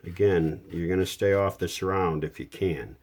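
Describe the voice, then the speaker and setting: A man's voice: deep voice, fairly even intonation, moderate pace, a little mellow. A man giving a casual hands-on how-to, explaining each step plainly and without hurry as a repair hobbyist.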